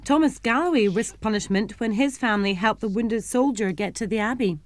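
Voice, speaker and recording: Young woman, schools history presenter, clear, simple, high-ish pitch. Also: a little fast. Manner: clear, simple explanations for children.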